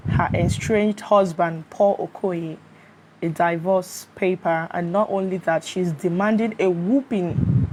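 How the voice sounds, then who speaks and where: Chatty Nigerian woman on a live stream. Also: mid-range voice. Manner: chatty, often greets viewers and checks the sound. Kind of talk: casual live stream commentary. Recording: steady fan noise behind.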